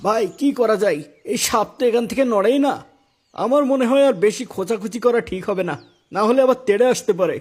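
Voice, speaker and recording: A man's voice: high voice, quick pace, a little mellow. A man playing an anxious, pious village man in a moral cartoon story, given to worried exclamations.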